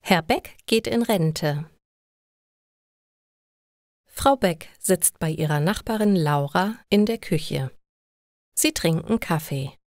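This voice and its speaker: A woman reading scripted stories for language learners in a clear, measured storytelling manner. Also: lowish voice, no room sound, clean studio sound.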